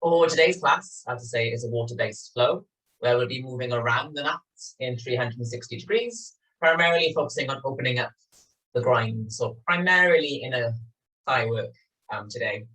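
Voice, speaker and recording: A man from Wales with a Swansea accent, chatting gently as he opens a yoga class from his small home studio. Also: mid-range voice, normal speed. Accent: Welsh, Swansea. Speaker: yoga teacher. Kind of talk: spoken yoga class introduction. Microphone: video call recording. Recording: distant, thin video call sound.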